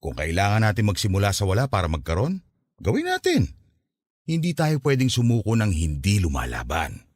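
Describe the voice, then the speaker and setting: A man's voice: on the low side, huge pitch swings, fairly quick, a little mellow, no echo. A man playing a patient, hopeful middle-aged father in a Filipino radio drama, giving earnest advice. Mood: hopeful, resolute.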